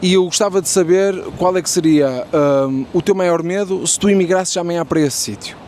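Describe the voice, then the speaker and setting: A young man with a European Portuguese accent runs a casual street interview, friendly and talkative, with restarts and fillers. His voice sits a little high for a man. Faint city traffic hums behind him outdoors. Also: a little fast, darkish tone. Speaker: street interviewer and content creator.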